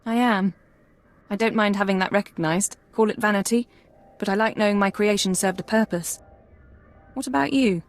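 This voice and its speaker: An actress playing a self-assured, analytical intelligence officer in a video game, formal and controlled. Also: female voice, mid-range voice, moderate pace, long pauses, lightly acted. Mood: confident. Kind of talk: video game drama acting.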